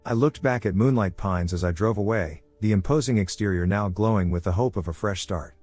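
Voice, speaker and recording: A man reading scary first-person stories as scripted narration, in a steady, measured way. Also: lowish voice, slightly rough, no echo, faint music behind. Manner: steady, measured storytelling delivery. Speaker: voice actor narrating horror stories.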